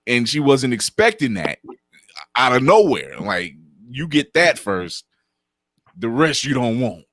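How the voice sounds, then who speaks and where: An older man with a deep voice, chatting casually as a guest and laughing often while he tells stories. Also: sing-song, measured pace, fairly bright tone, no room sound, clean studio sound. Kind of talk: casual chat.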